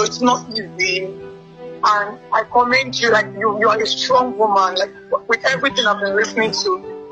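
Bright-voiced young woman, halting speech, muffled call. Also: mid-range voice. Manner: halting, repetitive phrasing. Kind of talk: emotional personal phone conversation. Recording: band-limited leaked phone call with music. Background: music.

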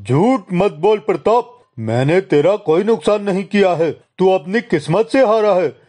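Man acting a high-voiced, protesting villager. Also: animated, a little slow, mellow. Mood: indignant. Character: defensive village man protesting his innocence. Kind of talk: Hindi animated story acting.

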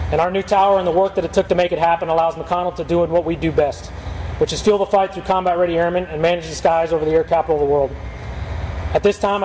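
A military officer, a man with a notably high voice, reads a prepared ceremonial address. He moves along quickly. His words ring through a big hangar over clear background noise. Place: large open hangar facing airfield. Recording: echoing hangar PA with steady ambient noise.

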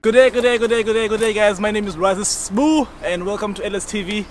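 A man's voice: high voice, quick pace. A young man hosting a casual outdoor interview in an upbeat, chatty way. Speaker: channel host. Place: outdoors by a roadside. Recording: street noise in an outdoor video clip.

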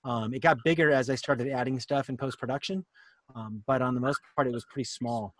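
A man in his fifties explaining things casually in a halting, think-aloud way on a video call. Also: mid-range voice, steady tone, fairly bright tone, dry recording. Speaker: workshop presenter. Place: small cluttered indoor room.

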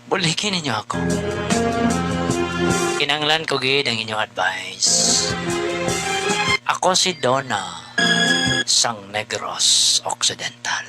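A man's voice: medium pitch, expressive, takes it slowly, long pauses. A man with a Western Visayan Filipino accent, hosting an advice show and dramatically reading out a listener's letter. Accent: Western Visayan Filipino (Hiligaynon). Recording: loud music behind the voice. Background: loud music.

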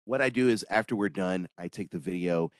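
A middle-aged man hosts a relaxed video-call interview in a lowish voice. He asks questions in a friendly, joking way, and the sound is dry and close. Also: brisk, dry recording. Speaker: host.

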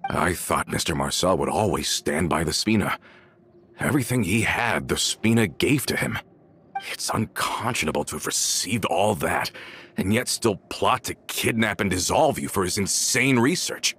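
An actor playing an earnest, loyal member of a gang in a video game, speaking in a questioning, sincere manner. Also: male voice, low voice, expressive, normal speed, fairly bright tone, slightly rough, heavily acted. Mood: earnest, troubled. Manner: earnest, questioning, hesitant when shocked. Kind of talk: video game character dialogue.

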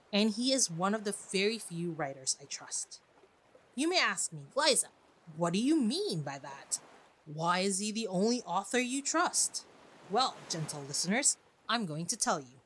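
A woman hosting a podcast in a chatty, expressive monologue, speaking directly to her listeners. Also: medium pitch, slight background noise. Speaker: podcast host. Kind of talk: podcast monologue on classic books.

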